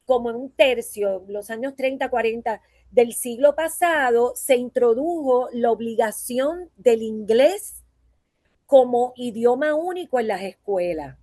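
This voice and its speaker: A woman's voice: fairly high pitch, lively intonation, unhurried, dark tone, dry recording, studio quality. A woman from Puerto Rico with a Puerto Rican accent, a voice teacher giving a conversational conference talk and often checking in with her listeners through tag questions. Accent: Puerto Rican Spanish.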